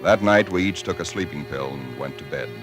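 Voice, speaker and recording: A haunted young husband in an old radio thriller, really low voice, grave and uneasy, music behind. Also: male voice, lively intonation. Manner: grave, deliberate, haunted, halting in dialogue. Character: uneasy young husband recounting a supernatural horror. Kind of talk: 1940s radio suspense acting, first-person narration.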